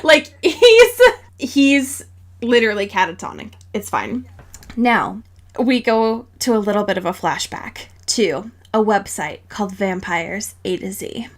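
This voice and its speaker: A woman's voice: mid-range voice, sing-song, measured pace. A woman co-hosting a podcast, chatting casually and comedically through a book recap in an exasperated, sarcastic, giggly way. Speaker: podcast co-host.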